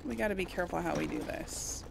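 A woman's voice: on the low side, fast, creaky, very noisy. A woman giving casual let's play commentary, chatting and thinking aloud to her viewers. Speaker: gaming video host.

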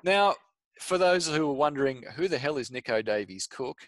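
A man's voice: on the high side, lively intonation, moderate pace, no echo. A man in his thirties with an Australian accent, hosting an interview in an upbeat, jokey way over a headset on a video call. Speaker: podcast host. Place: home room.

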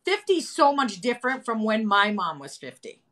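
A woman in her fifties hosting a casual live video chat from home, chatty and animated, talking into a phone camera. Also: fairly high pitch, moderate pace. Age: 50s. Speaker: host. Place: home room with colorful wall. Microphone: phone camera, video call.

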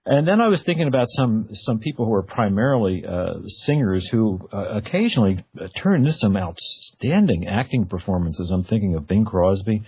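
A man's voice: fairly low pitch, expressive, normal speed, hardly any pauses, slightly rough. A man hosting a casual radio interview, with hesitant phrasing and repeated words. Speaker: radio talk show host. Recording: dull, lo-fi radio audio.

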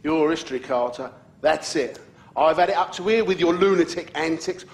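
An actor playing a furious, domineering middle-aged British police chief in a video game, barking and overbearing. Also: male voice, fairly high pitch, normal speed, close to an everyday voice. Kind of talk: video game cutscene acting. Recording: echoey room sound.

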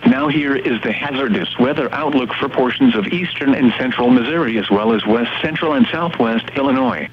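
A synthetic male text-to-speech voice reading weather radio forecasts and observations in a measured, formal, impersonal way. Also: medium pitch, highs cut off. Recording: weather radio broadcast with hum and static.

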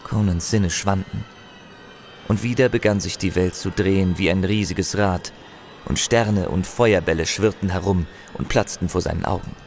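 A man's voice: low voice, fairly even intonation, moderate pace, vocal fry. A man narrating a fantasy audio drama as a grave, intense storyteller, with music and effects behind him. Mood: grave, dramatic.

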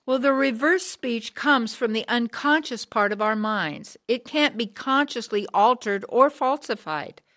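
Actress as hard, driven woman of sixty, radio drama. Earnest speechmaker, fairly high voice. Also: expressive, unhurried, few pauses, fairly bright tone. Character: brilliant, driven, hard sixty-year-old woman activist. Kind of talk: scripted radio drama acting.

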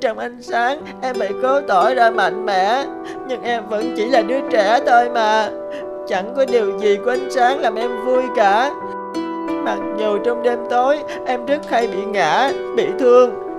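A woman voicing a lonely, wounded little child in an animated fairy tale, plaintive and tearful. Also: fairly high pitch, a little slow, over loud music, close to an everyday voice. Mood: sorrowful.

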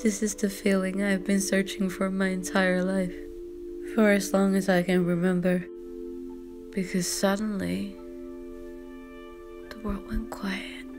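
A young woman playing a weary, drugged teenage girl in a drama, confessional, with a languid, halting, half-whispered delivery. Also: mid-range voice, flat delivery, natural voice. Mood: hushed, reflective. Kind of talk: naturalistic screen drama monologue, fan voiceover. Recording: music behind the voice.

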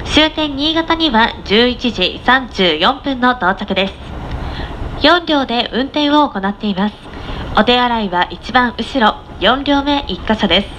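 A woman's voice: a little high, a bit bright, low-quality recording. A woman conductor giving formal, polite announcements on board a train. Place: inside a moving train, over the speakers. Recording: train cabin announcement with vehicle noise. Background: faint train running noise.

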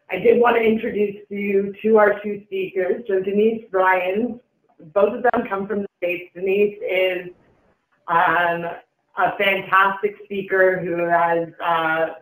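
Guy with a pretty high voice presenting event stuff informally, echoey meeting room. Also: a bit bright. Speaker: event organizer presenting an agenda. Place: indoor meeting room, conference call. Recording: roomy, echoey conference call room.

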